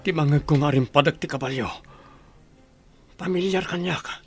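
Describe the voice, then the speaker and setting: A man's voice: a little high, normal speed, faint music behind, close to an everyday voice. A man with an Ilocano accent playing a bewildered amnesiac in a radio drama. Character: bewildered man slowly regaining his lost memory.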